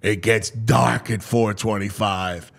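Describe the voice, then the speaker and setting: A man hosting a sports talk show, mixing dramatic, drawn-out delivery with casual, offhand asides. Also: on the low side, steady tone, gravelly.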